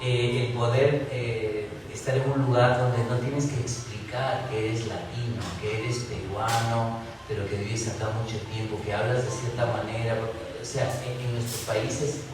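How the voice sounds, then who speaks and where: Man from Peru, panel author; reflective, halting delivery; mid pitch, distant in a booming hall, music under. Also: flat delivery. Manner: reflective, thinking aloud with restarts. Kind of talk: literary panel discussion. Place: large university hall.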